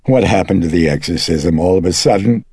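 A man voicing a distraught, despairing mother with a husky, quavering voice in an audiobook story. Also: deep voice, a little mellow, no echo, studio quality, voice pushed up. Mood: angry, loud. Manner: emotional, haltingly tearful, erupting into shrieks. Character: distraught, despairing, furious mother of a troubled child.

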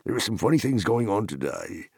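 A gruff, hearty hobbit farmer from a fantasy audiobook, acted out by a male narrator. He talks bluntly and rustically with a gravelly edge and big swings in pitch, wary of strangers yet hospitable, recorded close and dry. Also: very animated, brisk, a little mellow, dry recording, heavily acted. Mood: surprised, friendly. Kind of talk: dramatized fantasy audiobook character.

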